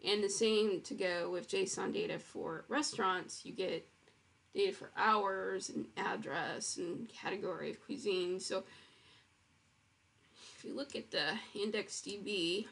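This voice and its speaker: A woman's voice: mid-range voice, unhurried, vocal fry, fairly bright tone, husky. A woman walking through her own web project in a casual screen-recorded demo, explaining with frequent ums and restarts.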